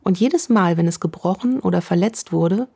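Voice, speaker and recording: A woman guides a meditation in a slow, soothing voice, warm and mellow in tone. Her pitch sits a little low. Her delivery stays calm and affirming. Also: gravelly, breathy edge.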